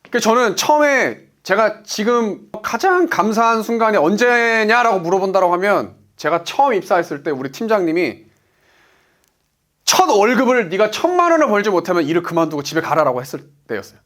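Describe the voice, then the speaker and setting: Guy giving a pumped-up business lecture, high pitched, voice swings all over, keeps asking and answering his own questions. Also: sing-song, fairly quick, a bit bright. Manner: emphatic, asks rhetorical questions and answers them. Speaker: sales business coach. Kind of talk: motivational lecture.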